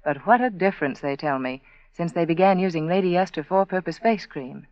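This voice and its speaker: A woman giving an earnest, intimate sales pitch as the sponsor's spokeswoman in a 1940s radio commercial. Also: brisk, darkish tone, no echo. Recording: dry, dull 1940s radio recording.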